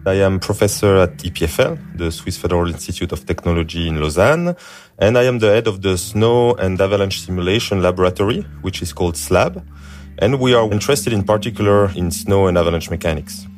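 A man's voice: low voice, lively intonation, a little fast, darkish tone. A man, a professor, introducing himself in an interview with formal, plain phrasing.

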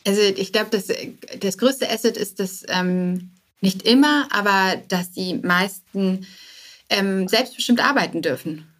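A woman's voice: medium pitch, measured pace, fairly bright tone. A woman speaking as a startup founder and podcast guest, answering casually and anecdotally with English business terms mixed in.

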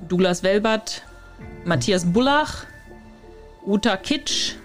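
A podcast co-host in casual chat, reading names out flatly and teasing the other host. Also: medium pitch, slow pace, faint music behind. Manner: teasing, laughs easily, reads lists flatly.

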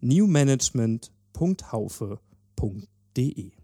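A man's voice: mid-range voice, big pitch swings, takes it slowly, over loud music. A man hosting a podcast, reading a scripted introduction and sponsor message. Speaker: podcast host.

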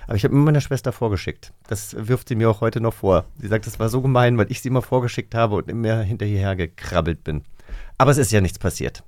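A man's voice: on the low side, fast, a little mellow, no room sound. Dry-sounding man podcast host. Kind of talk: casual chat and storytelling.

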